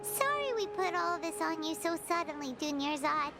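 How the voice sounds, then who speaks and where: A woman voicing a tiny, excitable childlike fairy companion in a video game, squeaky and exclamatory. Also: moderate pace, heavily acted. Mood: apologetic, concerned. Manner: bubbly, exclamatory. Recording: loud music under the voice.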